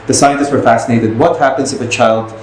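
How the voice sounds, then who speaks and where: A man's voice: medium pitch, fairly even intonation, fast talker. Man chatting in an echoey room. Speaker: podcast host. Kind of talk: casual interview chat with anecdotes. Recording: echoey room with faint noise.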